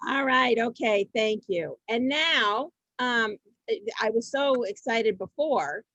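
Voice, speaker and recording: Woman host, fairly high voice, dry close sound, informal, halting, repetitive phrasing. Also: a bit bright, dry recording, muffled recording. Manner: halting, repeats herself. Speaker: host introducing performers. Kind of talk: informal hosting of amateur theater.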